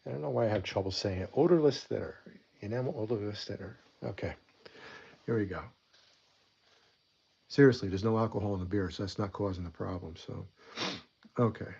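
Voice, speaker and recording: A man's voice: lowish voice, brisk, vocal fry, pauses often, darkish tone, breathy edge, highs cut off. Chatty man with a stuffy cold. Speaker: model weathering host. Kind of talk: casual hobby tutorial. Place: hobby desk.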